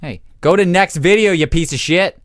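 Crude joking podcast host. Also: male voice, high voice, moderate pace. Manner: crude, joking, runs the game. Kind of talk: casual podcast banter.

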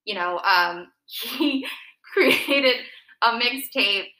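A woman's voice: a little high, animated, drawn-out words, pushed and bright, roomy sound, low-quality recording. A woman guest on a podcast, chatting with lots of fillers and laughing asides. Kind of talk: casual chat.

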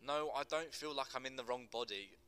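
A man's voice: mid-range voice, normal speed, sharp tone, faint music behind, close to an everyday voice. A man acting an earnest gangster who explains things with emphatic passion in a dramatic screenplay reading. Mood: earnest, animated. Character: earnest Teddy Boy gangster, passionate non-binary teacher.

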